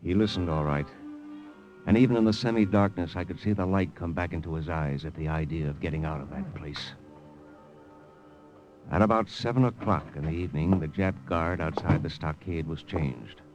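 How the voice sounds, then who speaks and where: A male actor plays a hard-boiled American officer in a vintage radio drama. The deep voice narrates tersely and steadily over prominent music. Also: moderate pace, darkish tone, lo-fi sound, lightly acted. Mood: tense narrating. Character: steady, tough American intelligence lieutenant, also narrating.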